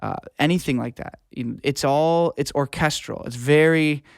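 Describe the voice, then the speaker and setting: A man's voice: fairly high pitch, moderate pace, vocal fry, no room sound, clean studio sound. A man speaking as an interview guest, a music producer explaining things earnestly in casual conversation and often checking that he is understood.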